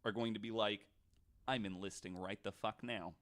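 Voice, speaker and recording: A man hosting a casual podcast, retelling stories to his guests in an animated, swearing way. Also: lowish voice, moderate pace. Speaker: podcast host.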